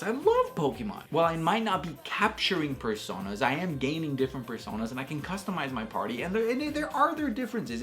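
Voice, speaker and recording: A man giving casual, enthusiastic video game commentary as a reviewer, emphatic and repeating phrases for stress. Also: fairly high pitch. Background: background music.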